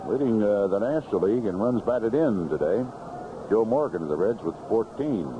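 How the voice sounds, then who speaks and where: A noisy, dull old radio broadcast carries an American man calling baseball. His pitch swings in a lively way as he narrates each play in clipped, unhurried phrases, in the manner of a 1970s play-by-play announcer. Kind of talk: live radio sports commentary, 1970s. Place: outdoor ballpark broadcast booth.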